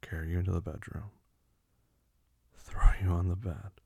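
Man playing dominant teasing lover, dark mellow tone, very dry close sound, breathy whispery murmur, commanding, intimate ASMR roleplay. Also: fairly low pitch, expressive, no echo, heavily acted. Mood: firm, controlled. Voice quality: breathy, husky, often dropping to a whisper. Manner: slow, murmured, commanding, with soft hums.

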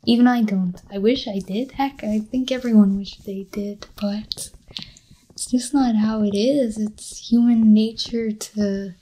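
A woman speaks in a relaxed, mellow tone, slipping in and out of a whisper as she rambles through a late-night ASMR talk in her apartment. Her delivery is slow and even, with little rise and fall. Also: mid-range voice, low-quality recording. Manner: rambling, drifts between soft voice and whisper. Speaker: ASMR creator. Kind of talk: soft-spoken ASMR chat.

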